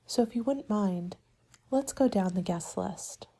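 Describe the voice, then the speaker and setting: Soft asmr lady roleplaying a planner, breathy n mellow, polite and measured, half whispery. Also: medium pitch. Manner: polite, measured, businesslike consultant patter.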